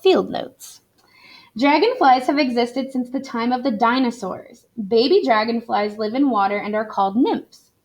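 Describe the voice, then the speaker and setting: A woman's voice: a little high, moderate pace. A woman reading a children's book aloud in an explanatory, teacherly way for young learners. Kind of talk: expressive read-aloud for children.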